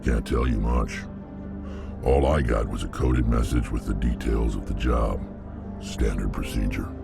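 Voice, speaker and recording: Game alien bruiser: extremely low, heavy rasp, blunt menace, music behind. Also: male voice, fairly even intonation, measured pace, full character voice. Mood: matter-of-fact. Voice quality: very deep gravelly growl. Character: huge gruff alien mercenary, menacing and blunt.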